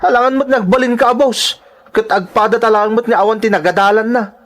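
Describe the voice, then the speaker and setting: Guy playing selfish goading young husband, high voice, ilocano accent, radio drama. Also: fairly even intonation, brisk, a bit bright. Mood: argumentative, scornful. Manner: argumentative, goading. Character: selfish, scornful young husband, irresponsible drinker. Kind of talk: Ilocano audio drama acting.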